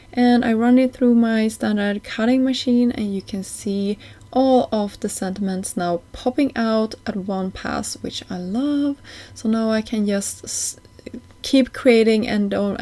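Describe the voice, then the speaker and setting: A woman's voice: mid-range voice, mellow. A woman giving a casual voice-over craft tutorial as host, with slightly hesitant phrasing and small non-native grammar slips.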